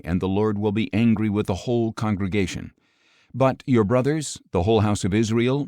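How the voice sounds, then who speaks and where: A man with a fairly low voice reads scripture as an audiobook narrator. His delivery is solemn and measured, with each phrase set out deliberately. The sound is very dry, with no room around the voice. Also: a little mellow, dry recording.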